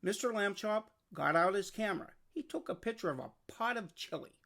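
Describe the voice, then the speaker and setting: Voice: medium pitch, animated, fairly quick, fairly bright tone. A storyteller reading a scripted children's picture book aloud. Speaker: storybook reader.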